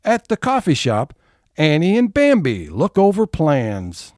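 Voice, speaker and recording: Guy doing narrator for audio comedy scenes, highish voice, dry studio-close sound. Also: huge pitch swings, a little slow, a little mellow, dry recording, faint music behind. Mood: announcing. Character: scene-setting male narrator of comedy drama. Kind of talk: scripted scene-setting narration.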